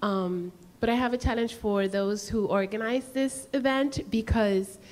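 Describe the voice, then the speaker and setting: A woman whose first language is Spanish offers a comment from the audience. Her voice is a little high and her pace slow. She is earnest and stops and restarts as she goes. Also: darkish tone. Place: indoor hall.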